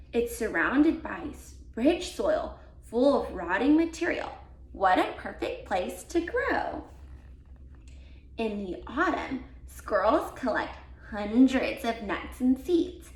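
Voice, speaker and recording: A woman reading a children's picture book aloud as a volunteer, with deliberate, expressive emphasis for young listeners. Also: on the high side. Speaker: volunteer read-aloud reader. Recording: roomy, echoey sound.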